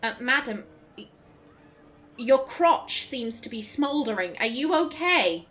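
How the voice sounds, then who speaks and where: A woman's voice: on the high side, lively intonation, slow, fairly bright tone, slight background noise. Chatty, giggly woman playing a game. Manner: chatty, giggly, self-interrupting. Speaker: let's play host. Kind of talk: casual gameplay commentary.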